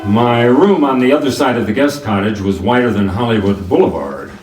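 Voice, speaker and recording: Man playing a wry detective, lively, roomy sound. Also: medium pitch, brisk, over music, lightly acted. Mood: wry narrating. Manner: dry, sardonic, colloquial. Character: wry, wisecracking male private detective. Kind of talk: hardboiled radio drama narration and dialogue. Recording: roomy, distant microphone.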